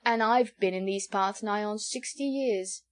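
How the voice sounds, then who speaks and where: A woman doing a loud, blustering old innkeeper. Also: medium pitch, unhurried, close to an everyday voice. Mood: emphatic. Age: 60s. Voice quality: loud, emphatic, sententious. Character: bluff, opinionated male innkeeper, good-humoured.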